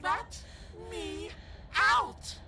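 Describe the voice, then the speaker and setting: A woman's voice: extremely high pitch, expressive, gravelly, sharp tone, a little hiss or hum, full character voice. A woman playing a trapped, menacing princess in a horror game, desperate and eerie, drawing out her words. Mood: desperate, demanding. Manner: drawn-out, eerie, deliberate. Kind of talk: dramatic horror game acting.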